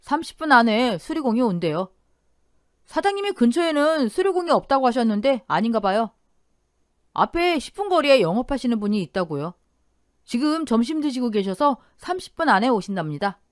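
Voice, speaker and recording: A brisk, matter-of-fact husband, read by a woman narrator, with a slightly raised, lively voice. The sound is dry and close, with no room around it. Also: fairly high pitch, no echo. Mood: reporting. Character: blunt practical husband, adult man.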